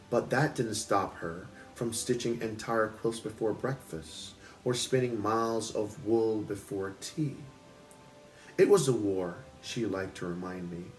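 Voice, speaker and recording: Low-voiced man reading a story aloud. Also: low-quality recording. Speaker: book club host reading aloud. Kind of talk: expressive storybook narration. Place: at home.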